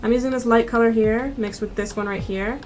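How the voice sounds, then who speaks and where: A woman's voice: a little high, fairly even intonation, brisk. A woman in her twenties narrating a makeup tutorial in a casual, informal, conversational way as a beauty vlogger. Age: 20s. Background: background music.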